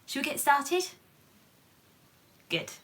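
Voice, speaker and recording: A woman reading a picture book to children in an animated, playful way, with chatty asides and questions to her listeners. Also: high voice, slow pace. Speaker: children's storybook reader.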